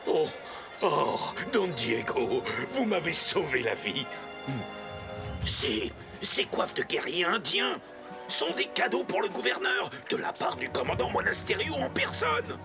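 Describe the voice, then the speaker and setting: A bumbling, eager-to-please cartoon sergeant. His pitch leaps all over and he drags his words slowly, groaning and stammering theatrically over loud music. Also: big pitch swings, gravelly, heavily acted. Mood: relieved. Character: bumbling, flustered, obsequious army sergeant. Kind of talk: French cartoon dub.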